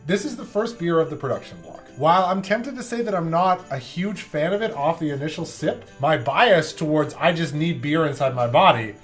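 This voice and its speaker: A man hosting a review show in casual comic banter, with animated, exaggerated reactions. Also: on the high side, a little fast, few pauses, faint music behind. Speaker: beer review show host.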